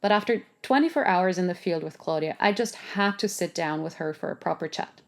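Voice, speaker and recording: A woman's voice: fairly low pitch, a little fast. A woman hosting a podcast, reading a scripted introduction with playful asides. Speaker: podcast host. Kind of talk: scripted narration.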